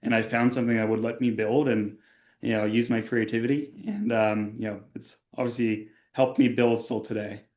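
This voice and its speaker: A man's voice: medium pitch, steady tone, moderate pace, darkish tone. A young man from Austria, a tech company co-founder, speaking as a guest in a casual podcast interview, talking things through with frequent fillers and restarts. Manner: conversational, many fillers and restarts.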